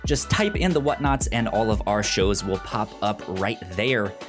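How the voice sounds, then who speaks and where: A man's voice: on the low side, expressive, normal speed, over music. A man hosting a casual podcast, chatting and reading announcements, sometimes tripping over and repeating words. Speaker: podcast host. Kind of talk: casual podcast interview and sponsor read.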